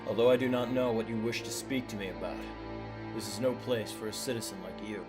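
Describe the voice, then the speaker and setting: A man voicing a composed young gangster leader in an anime fan dub, formal, measured and authoritative. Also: on the low side, steady tone, music in the background, natural voice. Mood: stern, composed.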